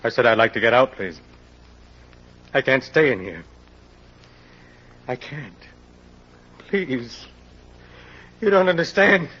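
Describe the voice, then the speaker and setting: An actor playing a claustrophobic murderer breaking down in terror, pleading and repeating himself in old radio drama style. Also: male voice, mid-range voice, sing-song, slow pace, pauses often, slightly rough, full character voice. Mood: panicked pleading. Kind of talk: 1940s radio acting. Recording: muffled vintage radio recording.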